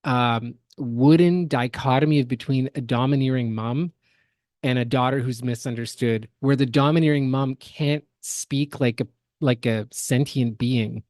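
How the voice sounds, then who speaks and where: A man co-hosting a podcast, giving casual, critical commentary in an analytical, halting and emphatic way. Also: mid-range voice, steady tone, darkish tone, dry recording, studio quality. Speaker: podcast co-host reacting to game cutscenes.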